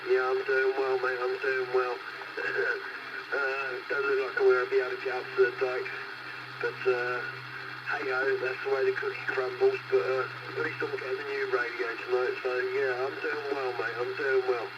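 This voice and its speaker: A man's voice: mid-range voice, monotone, normal speed. A middle-aged British man chatting casually and in a friendly way over a CB radio, often repeating his phrases. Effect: CB radio transmission. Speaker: CB radio caller. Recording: dull, very noisy, distant CB reception.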